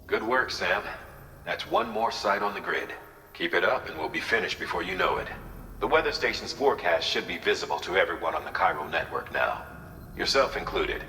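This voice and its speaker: An extremely deep, forceful male official gives mission updates in a video game. He is measured, businesslike and authoritative, his voice ringing with echo. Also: animated, exaggerated voice. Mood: approving, businesslike. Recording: echoey reverberant sound, faint noise.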